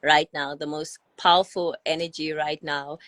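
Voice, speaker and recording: A woman's voice: deep voice, flat delivery, moderate pace, fairly bright tone, dry recording. A young woman telling her own story as a podcast guest, heartfelt and earnest, with many "you know" and "like" fillers. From South Africa. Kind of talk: personal storytelling in a podcast interview.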